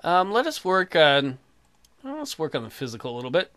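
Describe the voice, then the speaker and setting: Bright-voiced man joking through a game. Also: a little high, huge pitch swings, normal speed, smooth. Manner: crude, joking, sarcastic. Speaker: let's player. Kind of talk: casual game commentary.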